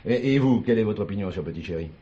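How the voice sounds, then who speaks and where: A man's voice: fairly low pitch, lively intonation, very fast, mellow, husky. An actor playing a casual, inquisitive police inspector in a French stage play, easygoing as he asks questions. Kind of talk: French stage comedy-mystery acting. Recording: slightly dull, faintly noisy stage-play recording.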